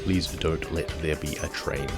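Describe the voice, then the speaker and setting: A man chatting casually as he streams a trucking game, his voice hoarse and nearly gone after a cold. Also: extremely low pitch, a little slow, loud music behind the voice. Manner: relaxed, chatty, talks to himself while driving. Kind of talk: casual gameplay commentary.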